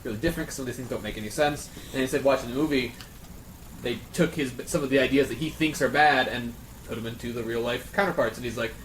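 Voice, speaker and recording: A man's voice: mid-range voice, quick pace. A man in casual round-table chat, explaining in detail like an earnest fan. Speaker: panelist on a friends' discussion show. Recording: echoey room with background noise.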